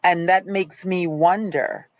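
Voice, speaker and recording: A middle-aged woman with a low voice moderates a panel and turns to the audience as she reflects. The sound is dry and close. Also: steady tone, slow pace, no echo. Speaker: panel host. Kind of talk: hosting a panel discussion.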